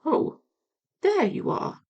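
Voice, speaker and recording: A woman voicing a gentle, slow-witted male bear in a storybook, mild, puzzled and polite. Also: dull audio, close to an everyday voice. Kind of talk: storybook character voice.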